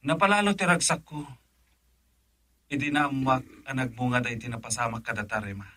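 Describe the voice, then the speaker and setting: A man's voice: medium pitch, brisk, sharp tone. An actor playing an eager, teasing man in radio drama dialogue.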